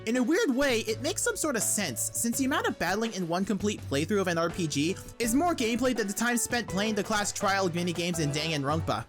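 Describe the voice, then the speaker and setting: A woman's voice: medium pitch, sing-song, fairly quick, hardly any pauses. A woman reads a scripted video essay about games in a playful, informal way. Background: background music.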